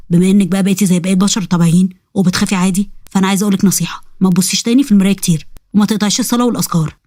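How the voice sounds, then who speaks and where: A woman voicing a male jinn spirit with a thick, low voice and an Egyptian accent, in a storybook tale. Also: a little mellow, no echo, studio quality, natural voice. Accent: Egyptian Arabic.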